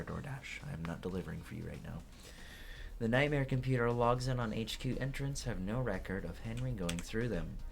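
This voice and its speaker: A man's voice: fairly low pitch, gravelly. A man reading courtroom game dialogue aloud as a let's player, with casual asides.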